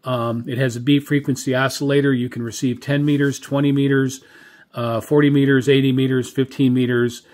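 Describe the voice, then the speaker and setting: A man's voice: medium pitch, little pitch movement, fairly quick, a little mellow. A middle-aged or older man giving a casual product review as a hobbyist, in a hesitant, rambling, conversational way. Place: indoor room with radio equipment.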